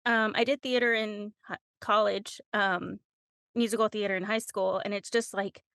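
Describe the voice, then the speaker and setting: A woman's voice: medium pitch, fairly even intonation, normal speed, creaky, a bit bright, no room sound. Young-sounding female interview guest, informal and giggly, very dry close recording. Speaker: audiobook narrator, podcast guest. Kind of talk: casual conversational interview.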